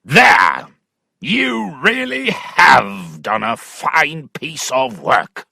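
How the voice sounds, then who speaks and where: A man reading a storybook while voicing a hot-tempered country squire who shouts and curses at his neighbour. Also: mid-range voice, very animated, slow, gravelly, no echo, clean studio sound, pitched above the performer's own voice, heavily acted. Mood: raging. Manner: shouting, cursing, furious.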